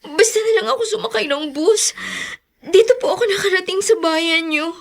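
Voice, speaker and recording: Radio drama role: meek runaway schoolgirl, very high voice, hesitant, pleading, polite. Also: moderate pace, creaky. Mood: timid, tearful. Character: timid, pleading teenage runaway girl applying as housemaid. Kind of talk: Tagalog radio drama acting.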